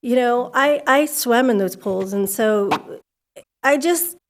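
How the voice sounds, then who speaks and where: A woman's voice: on the high side, slow. Candid woman speaking at a podium mic. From California. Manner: candid, conversational, grows emphatic. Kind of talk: public comment at a school board meeting. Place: civic center meeting hall.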